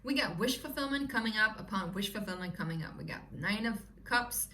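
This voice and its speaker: A cheerful woman with a Swedish accent talks her viewers through a tarot spread in a loose, chatty way. Her voice is bright and clear. She sits a little back from the mic, with a faint hiss of room noise behind her. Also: medium pitch, expressive, brisk, recorded from a distance. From Sweden. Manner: chatty, upbeat, lots of "you know" and "right?". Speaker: tarot reader. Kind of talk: casual tarot reading to viewers.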